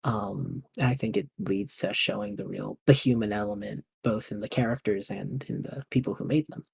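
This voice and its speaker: Man, casual interview host, husky voice, enthusiastic, dry close sound. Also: lowish voice, expressive, normal speed, dry recording. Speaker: host and audio fiction creator.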